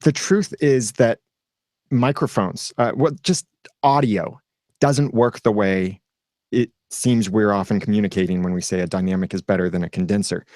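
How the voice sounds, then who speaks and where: A man's voice: fairly low pitch, moderate pace, darkish tone, dry recording, clean studio sound. A man speaking as a guest in a casual roundtable chat, explaining at length with a self-deprecating streak. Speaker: podcast guest.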